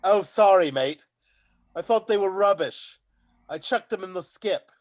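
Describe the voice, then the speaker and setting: Bloke doing a matey clumsy odd-job guy in a panto, high voice, really lively up and down. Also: measured pace. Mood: matey, apologetic. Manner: matey, casual. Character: bumbling, easygoing handyman in an amateur panto troupe. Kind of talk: play reading of a stage comedy.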